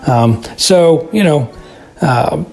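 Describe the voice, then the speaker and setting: A man from Texas giving casual spoken commentary as a listener contributor, halting and repeating words as he reasons. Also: fairly high pitch, lively intonation, vocal fry, a little mellow, faint music behind. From Texas, United States.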